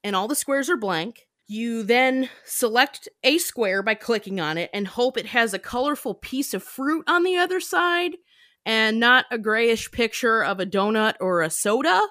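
A woman podcast host chatting casually, explaining things in an animated, giggly way. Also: on the high side, normal speed, dry recording, clean studio sound. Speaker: podcast host and sketch creator. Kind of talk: casual chat.

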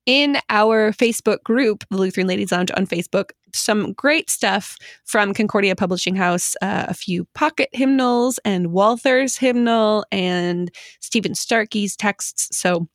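A woman hosting a podcast in a lively, casual group chat, with a playful, giggly manner. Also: medium pitch, measured pace, few pauses, no room sound, studio quality. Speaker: podcast host.

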